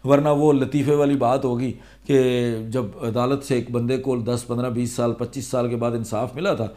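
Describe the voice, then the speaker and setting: A man with a Pakistani accent talks straight to his viewers in a vlog. His voice is mid-pitched with a soft, relaxed tone. He explains his advice in a conversational way, one step after another. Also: normal speed. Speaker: vlogger giving legal awareness advice.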